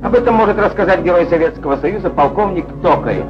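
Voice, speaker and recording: A man's voice: high-pitched, lively intonation, fast, dull audio. A man narrating a scripted 1940s Soviet newsreel in a declamatory, wry manner, on an old film soundtrack. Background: music.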